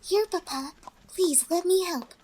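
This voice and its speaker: Woman voicing a high, timid young pony filly. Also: a little slow, crowd noise. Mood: worried. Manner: timid, hesitant, gentle. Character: young timid pony filly, devoted daughter narrating her past. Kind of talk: audio drama acting and narration. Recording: music under the voice.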